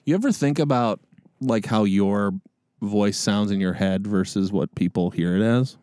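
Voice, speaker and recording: A man's voice: lowish voice, normal speed, mellow, no room sound, clean studio sound. A young man co-hosting a comedy podcast, playful and teasing in casual chat, laughing often. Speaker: podcast co-host.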